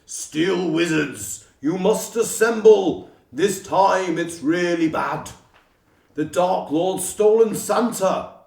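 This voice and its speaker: A man voicing a booming, urgent figure who calls wizards to a rescue in a storybook tale. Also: takes it slowly, gravelly, darkish tone, exaggerated voice. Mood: urgent, commanding. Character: booming commanding voice summoning wizards to rescue Santa. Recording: roomy, distant from the microphone.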